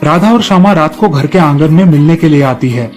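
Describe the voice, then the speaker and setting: Higher-pitched male storyteller over music. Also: expressive, brisk, a little mellow. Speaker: narrator. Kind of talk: scripted children's story narration.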